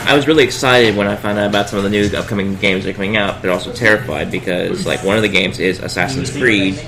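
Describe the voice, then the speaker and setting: A man's voice: fairly low pitch, fairly even intonation, fast talker, creaky, fairly bright tone, rough recording. A man co-hosting a podcast, chatting casually like an enthusiastic gamer. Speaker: podcast co-host. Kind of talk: casual chat. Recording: crowd noise around the voice. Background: crowd chatter.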